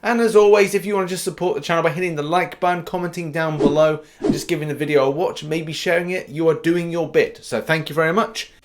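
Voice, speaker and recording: A man's voice: a little high, steady tone, fast talker, hardly any pauses. A British man hosting an upbeat gaming news update, informal and cheeky, punching the starts of his phrases. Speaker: gaming news YouTuber and host.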